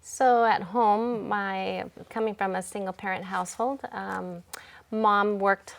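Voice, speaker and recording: A woman in her forties, a school principal, talking in a relaxed interview in a reflective, unhurried way with many ums and pauses. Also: mid-range voice, gravelly. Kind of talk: casual interview talk.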